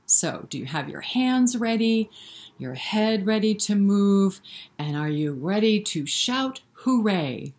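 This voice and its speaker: A woman's voice: medium pitch, big pitch swings, slow, darkish tone. A woman hosting a story time for young children, speaking directly to them with questions and encouragement. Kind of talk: interactive read-aloud for young children, with singing.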